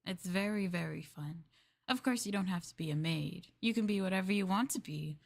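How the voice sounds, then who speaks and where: Woman chatting softly as an obliging maid. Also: lowish voice, fairly quick, gravelly. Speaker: VTuber livestream host. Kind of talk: casual roleplay chat with livestream viewers.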